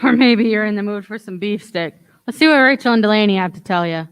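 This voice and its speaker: Clear-voiced woman announcer with pirate flourishes. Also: medium pitch, fast talker. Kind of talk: scripted show introduction in playful pirate talk.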